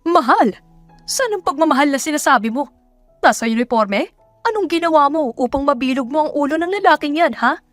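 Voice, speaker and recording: A woman voicing a cruel middle-aged provincial mother in an audio drama, shouting scornful, scolding questions at her daughter. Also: high-pitched, expressive, fairly quick, dry recording, soft background music, pitched above the performer's own voice. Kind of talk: Tagalog audio drama acting.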